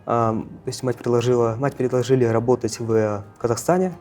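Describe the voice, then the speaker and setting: Mellow-voiced teenage male student. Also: medium pitch, steady tone. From Russia, Yakutia. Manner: halting, self-correcting. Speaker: first-year university student. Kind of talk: casual interview. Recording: faint music behind the voice. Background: faint background music.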